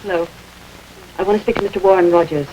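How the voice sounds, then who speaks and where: An actress playing a cold, insistent gangland informer in an old-time radio crime drama. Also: female voice, lowish voice, fairly even intonation, fast talker, warm tone, dull audio, lightly acted. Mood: urgent, secretive. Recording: noisy vintage radio recording.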